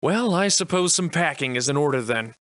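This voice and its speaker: Man voicing a bright, sardonic old-fashioned gentleman adventurer. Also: on the high side, expressive, a little fast, no echo. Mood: curt, resolved. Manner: florid archaic diction, theatrical and wry. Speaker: voice actor. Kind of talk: theatrical video game character acting.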